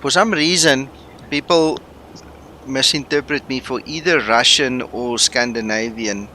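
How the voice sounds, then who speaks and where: Slow, bright-voiced man. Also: medium pitch, slight background noise. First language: Afrikaans. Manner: relaxed, thinking aloud. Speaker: flight sim streamer. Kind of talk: casual chat with live viewers.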